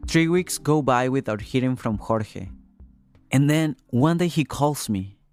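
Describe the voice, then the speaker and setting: A young man narrates his own story in a conversational, reflective way at a middle pitch. Soft music plays faintly underneath his voice. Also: lively intonation, a little slow. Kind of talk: first-person scripted narration. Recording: faint music bed behind.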